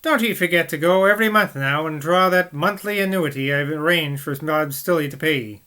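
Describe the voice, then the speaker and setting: Folksy old mayor with a rustic West Country burr, read by a man, fond, bright tone. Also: fairly high pitch, moderate pace, smooth. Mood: reassuring. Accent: rustic West Country English put on. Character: older male small-town mayor, fond and stubborn.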